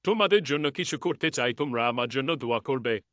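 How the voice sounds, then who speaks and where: A man voicing a kindly, pious old garden owner in a cartoon, speaking earnestly and with gentle insistence. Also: medium pitch, lively intonation, a little fast, dry recording, close to an everyday voice. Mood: kindly, insistent.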